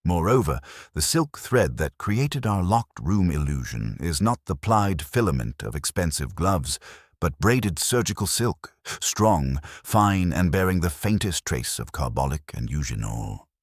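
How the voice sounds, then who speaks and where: A man playing a shrewd, courteous Belgian detective in an audiobook, speaking in formal, measured, theatrical deductions. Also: deep voice, big pitch swings, breathy edge, no echo, clean studio sound, exaggerated voice. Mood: gentle, deductive.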